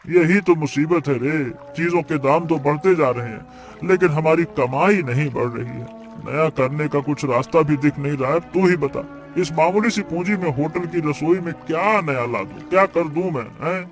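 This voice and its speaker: A man's voice: on the high side, normal speed, hardly any pauses, natural voice. A man playing a troubled but eager small-eatery cook in a cartoon moral story, speaking expressively and emphatically. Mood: exasperated, worried. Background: faint music.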